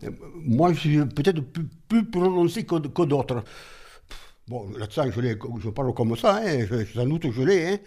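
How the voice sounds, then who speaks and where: A man hosting guests at home, talking fast and stumbling over his words. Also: on the high side, very animated, a little mellow, soft background music. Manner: stammering, repeats words, talks fast. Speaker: host offering drinks.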